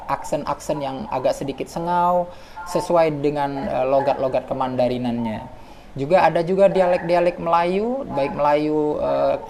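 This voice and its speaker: Man lecturing on a noisy radio line. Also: fairly high pitch. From Medan, North Sumatra. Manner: explanatory, many fillers and restarts. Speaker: guest speaker on language.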